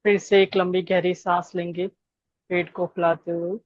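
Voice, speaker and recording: A woman leading a guided meditation, giving gentle instructions with long pauses between phrases. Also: on the low side, level tone, no room sound, muffled recording.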